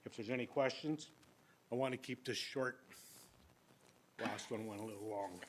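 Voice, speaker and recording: Older man, applicant at a council hearing, plainspoken, sometimes booming. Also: normal speed, a little hiss or hum. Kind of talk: public hearing presentation. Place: council chamber. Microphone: podium microphone.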